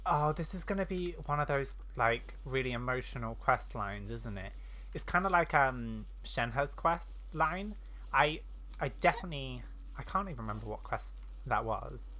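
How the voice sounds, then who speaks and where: A man's voice: mid-range voice, animated, normal speed, pushed and bright. A man giving casual, informal commentary as he plays a video game. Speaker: gaming streamer.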